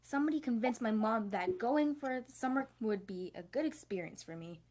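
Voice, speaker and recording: A woman reads as a young cartoon girl, the bickering cousin. She is snippy and sarcastic, with a fairly high voice. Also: expressive, a little fast, darkish tone, lightly acted.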